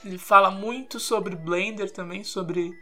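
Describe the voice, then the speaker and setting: Brazilian woman hosting over clear music. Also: mid-range voice, steady tone, a little slow, sharp tone. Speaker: podcast host. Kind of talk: casual chatty podcast hosting.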